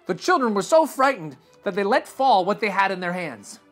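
A young man reading a storybook aloud to children in an animated, expressive way, recorded in a living room. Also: high voice, moderate pace, faint music behind. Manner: animated and expressive, dramatic swings in tone. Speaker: read-aloud host. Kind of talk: storybook read-aloud narration. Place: indoor living room with sofa.

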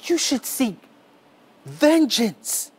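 A man's voice: sing-song, darkish tone, breathy edge, heavily acted. A man on stage playing a lonely young boy who begs to be a sidekick, speaking earnestly in a theatrical spoken-word monologue. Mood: bitter. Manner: earnest, slow and deliberate, rising pitch on key words. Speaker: stage performer. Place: dark smoky theatre stage.

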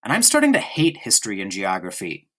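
A man's voice: lowish voice, wildly swooping pitch, brisk, sharp tone, voice pushed down, full character voice. A man voicing a bold young boy who complains at dinner and teases his sister. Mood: complaining.

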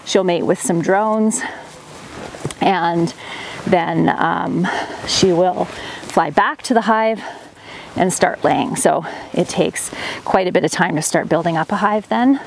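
A woman's voice: lowish voice, slow pace, vocal fry, long pauses, a little hiss or hum. A woman in her thirties chatting casually as she explains her gardening and beekeeping while she works, recorded close on a handheld camera in a greenhouse and a farmyard. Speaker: farm vlogger. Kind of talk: casual how-to vlog narration. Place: plastic greenhouse and outdoor farmyard.

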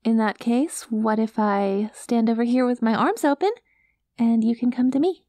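Casting: woman as cute alien girl for ASMR roleplay, highish voice, naive, gentle, hesitant, close dry sound. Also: normal speed, no echo, lightly acted. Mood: gentle, reassuring. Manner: naive, literal, hesitant, reassuring.

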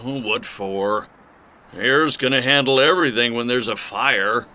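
A woman voicing an earnest fire truck character in a children's cartoon, eager but easily discouraged, with an expressive delivery. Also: very deep voice, measured pace, very noisy. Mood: dejected. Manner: expressive, exclamatory. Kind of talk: animated children's cartoon dub acting. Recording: music under the voice.

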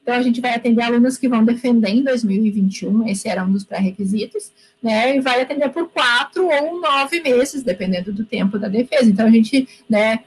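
A woman lecturer from Brazil speaks in a fairly high voice over a video call, animated and earnest, often checking in with her listeners. A faint hum runs under her voice. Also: a little fast, hardly any pauses, poor audio. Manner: earnest, animated, frequent tag questions. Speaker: guest speaker, university lecturer. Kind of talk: conversational talk answering a question. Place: home room with bookshelves. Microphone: laptop or webcam mic on a video call.

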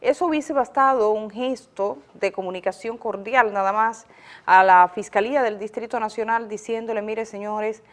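Dominican woman news host, medium pitch, chatty explainer style talking right to viewers. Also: steady tone, moderate pace. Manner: explanatory, addresses viewers directly. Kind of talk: conversational news commentary. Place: TV studio.

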